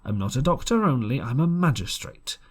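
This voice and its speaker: A man voicing a stern, fearless gentleman doctor in an audiobook, formal, firm and emphatic. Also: medium pitch, big pitch swings, brisk.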